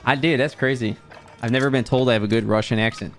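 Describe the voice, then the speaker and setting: A man's voice: mid-range voice, fairly even intonation, quick pace. A young man streaming a shooter game from a room at his desk mic, talking to his chat in a brash, trash-talking way. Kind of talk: live gameplay commentary. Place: indoor room at a gaming setup. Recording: game music underneath.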